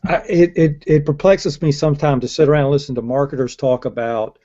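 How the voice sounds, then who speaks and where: A man's voice: a little high, fairly even intonation, a little fast, warm tone. An older man making his case in a casual panel debate, emphatic and often restarting his sentences. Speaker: panelist in a marketing discussion. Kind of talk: casual argumentative panel chat. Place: indoors on a video call. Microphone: earbud headset. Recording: dull lo-fi video call audio.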